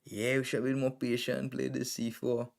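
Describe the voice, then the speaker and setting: A man's voice: medium pitch, level tone, normal speed, gravelly. A man from Trinidad and Tobago with a Trinidadian accent, streaming live and thinking aloud as he reviews chess games move by move. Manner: thinking aloud, calling out moves in short bursts.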